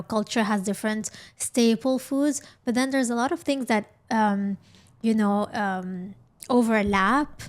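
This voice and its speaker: A woman hosting a casual conversational interview, affirming what her guest says as she talks. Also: on the high side. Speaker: podcast host.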